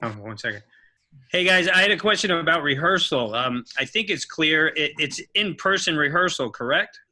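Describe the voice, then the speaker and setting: Bright-voiced man asking questions. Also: fairly high pitch, lively intonation, normal speed. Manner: asking brief checking questions.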